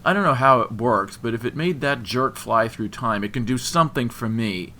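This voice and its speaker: A man's voice: medium pitch, moderate pace, pushed and bright, a little hiss or hum, pitched above the performer's own voice, natural voice. A man voicing a drunken, bitter young man in an audiobook, growling and taunting crudely. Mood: angry, defiant. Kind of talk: audiobook character dialogue.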